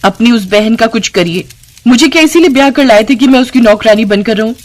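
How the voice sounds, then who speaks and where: A woman's voice: on the high side, fairly quick, voice pushed up, natural voice. A woman voicing a greedy, nagging, scheming village wife who can turn wheedling, in a narrated story. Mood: irritated. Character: sharp-tongued, greedy, scheming village wife and sister-in-law. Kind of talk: acted dialogue in a narrated story.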